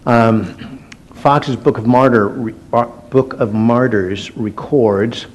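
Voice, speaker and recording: Relaxed man teaching a Bible study. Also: medium pitch, poor audio. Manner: relaxed and self-deprecating, with frequent fillers and restarts; slows down and stresses each word when reading scripture aloud.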